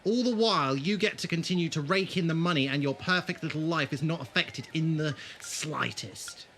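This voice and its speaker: An actor playing a sardonic, sharp-minded male adventurer in a fan audio drama, dry and pointed in delivery. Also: fairly high pitch, a little hiss or hum. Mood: accusing, forceful. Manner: dry, pointed, deliberate when pressing.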